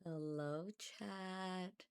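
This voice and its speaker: A woman streamer with a hoarse, raspy voice, recovering from losing it, chatting casually with her live chat and greeting viewers by name. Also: fairly low pitch, steady tone, very slow, darkish tone. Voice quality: raspy, slightly gravelly.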